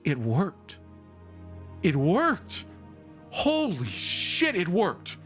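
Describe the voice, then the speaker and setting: A man's voice: high voice, huge pitch swings, drawn-out words, a bit bright, pitched above the performer's own voice, heavily acted. Man acting an urgent, stammering, overexcited guy, music behind. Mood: elated awe. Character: overexcited thin man, terrified and overjoyed, insisting magic is real. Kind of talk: improvised tabletop roleplay dialogue.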